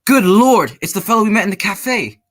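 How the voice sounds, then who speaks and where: A man playing an earnest, loyal gentleman sidekick who also narrates an old-time radio mystery. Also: sits high, animated, fairly quick. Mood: alarmed. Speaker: actor in a student radio drama.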